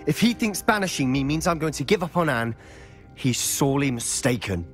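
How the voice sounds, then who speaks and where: A man's voice: on the high side, expressive, moderate pace, a bit bright. A young man with a British accent playing a headstrong teenage wizard in a video game, intense and insistent. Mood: bitter, determined. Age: young adult. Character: headstrong, defiant teenage schoolboy wizard, protective brother. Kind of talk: video game voice acting, dramatic dialogue. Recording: game audio with music underneath.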